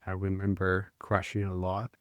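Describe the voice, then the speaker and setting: A man in his thirties with a Chilean accent and a smooth, low-pitched voice. He talks in a casual interview, halting, with many fillers and restarts. The sound is dry and close to the mic. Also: completely flat delivery, dry recording, dull audio. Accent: Chilean Spanish. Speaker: guest, mountain bike guide.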